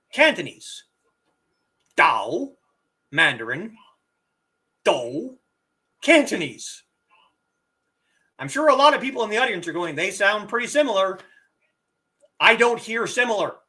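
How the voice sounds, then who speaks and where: A middle-aged martial arts teacher chatting casually on a live stream, reading viewer comments and sounding out words with animated emphasis. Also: medium pitch. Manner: animated, drawn-out demonstrations of word sounds.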